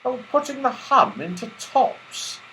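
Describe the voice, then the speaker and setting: Man as courteous storybook bear butler, helpful and fussy, high-pitched with lively swoops. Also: a little slow, a little hiss or hum, exaggerated voice, low-quality recording. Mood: cautioning. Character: polite teddy bear footman, helpful and gently fussy.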